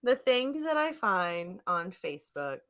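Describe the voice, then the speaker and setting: Animated, bright-voiced playful woman host. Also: medium pitch, unhurried. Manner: playful, mock-dramatic, giggly. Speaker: podcast host. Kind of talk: casual comedic chat.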